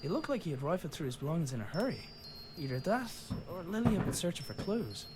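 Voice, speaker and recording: Wry searching father, adventure game narration, lightly high pitch, very animated intonation, reflective storytelling. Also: fast talker, very noisy. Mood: musing. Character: adult son and father, wry inventor's child searching. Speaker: voice actor in a video game. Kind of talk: scripted first-person game narration. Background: game music.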